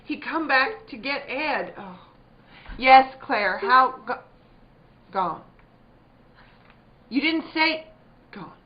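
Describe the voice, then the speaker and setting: An actress playing a careworn, protective rural wife, fretful and earnest in plain country dialect, in a radio play. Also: female voice, sits high, animated, drawn-out words, pauses often, full character voice. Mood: alarmed. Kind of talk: theatrical radio-play acting. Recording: dull, noisy lo-fi recording.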